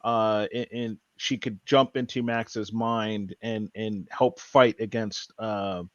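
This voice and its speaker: A man co-hosting a podcast, casually recounting a show in an earnest, halting way. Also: fairly low pitch, steady tone, dry recording, muffled recording. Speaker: podcast co-host.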